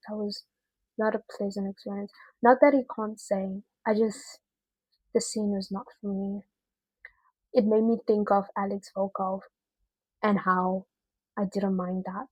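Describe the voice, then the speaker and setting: Slow-paced, crisp-voiced woman chatting casually. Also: medium pitch, dry recording, low-quality recording. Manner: expressive, slangy, emphatic repetition. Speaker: video reviewer. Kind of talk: casual chatty movie review.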